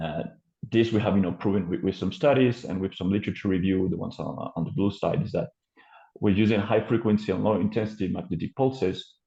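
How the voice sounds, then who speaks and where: A man with a French accent gives a presentation over a video call, pausing often on fillers and restarting his sentences. His voice sits low and mellow. The audio is dull and muffled, with a roomy echo. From France. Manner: hesitant, many fillers and restarts. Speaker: company co-founder presenting.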